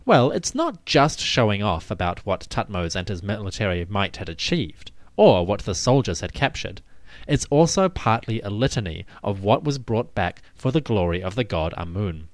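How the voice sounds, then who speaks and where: A man hosting and narrating a scripted history piece, with measured, emphatic storytelling. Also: on the low side, sing-song, dry recording. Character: commanding victorious pharaoh addressing his army. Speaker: podcast host and narrator.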